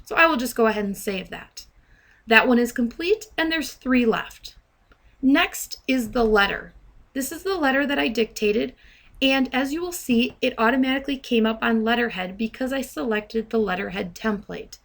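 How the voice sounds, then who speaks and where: A woman software trainer narrating a step-by-step demo in a measured, instructional way. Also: a little high, lively intonation, gravelly.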